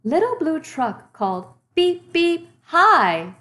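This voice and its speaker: An eager, kind little truck from a picture book, acted by a woman, plucky and childlike. The voice sits high and swings widely, with encouraging exclamations. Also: big pitch swings, takes it slowly, fairly bright tone, exaggerated voice. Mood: excited, wistful. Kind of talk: children's storybook character voice.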